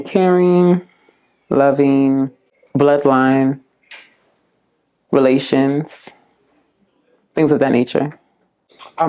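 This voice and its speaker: A woman's voice: deep voice, mellow. Earnest woman answering interview questions. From South Florida, US. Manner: unhurried, many "um"s and "like"s, earnest. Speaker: community case manager. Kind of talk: casual interview answers to prompts.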